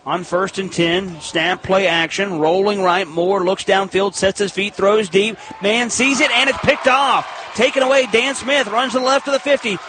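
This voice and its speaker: An American man calling live football play-by-play on the radio in an excitable, emphatic way with clipped phrases. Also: high voice, normal speed, hardly any pauses, slight background noise.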